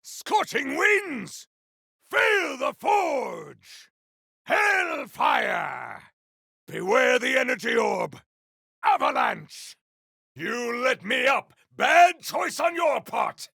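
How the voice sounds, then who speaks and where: A male voice actor playing a grandiose, battle-hungry video game warrior, declaiming in a bombastic, archaic style with a rough, gravelly voice. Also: very high voice, sing-song, very slow, bright, forceful tone, dry recording, raised voice, studio quality, full character voice. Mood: battle fury. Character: grandiose battle-hungry warrior wielding fire, frost and storm. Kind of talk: video game combat barks.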